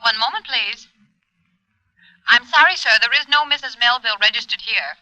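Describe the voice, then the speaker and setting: A woman playing a brisk, formal hotel telephone operator with clipped politeness, in 1940s radio style. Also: a little high, pushed and bright, no echo, muffled recording. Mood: brisk, polite.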